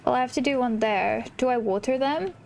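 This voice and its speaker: A woman's voice: on the high side, brisk, creaky, darkish tone, a little hiss or hum. A woman hosting a casual let's play, commenting on the game and reading its dialogue aloud. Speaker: gaming channel host.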